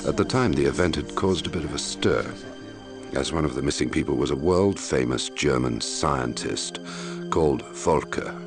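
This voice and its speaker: A man acting as an investigator who narrates his grim logbook in a measured, brooding way for a mystery game, in a gravelly voice. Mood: grave, recounting. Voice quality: very deep, gravelly. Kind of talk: scripted first-person narration for a mystery game. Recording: music under the voice. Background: music.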